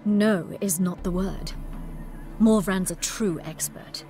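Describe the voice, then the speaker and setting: A woman's voice: medium pitch, takes it slowly, mellow, soft background music. A woman playing a refined, coolly gracious noblewoman in a video game, speaking formally and elegantly. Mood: gracious, poised.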